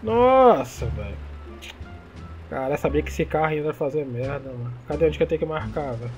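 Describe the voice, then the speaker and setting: A young Brazilian man with a Brazilian Portuguese accent, chatting excitedly and joking while playing a truck game online. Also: on the high side, fairly quick, music in the background. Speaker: gaming YouTuber. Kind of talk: casual gameplay commentary.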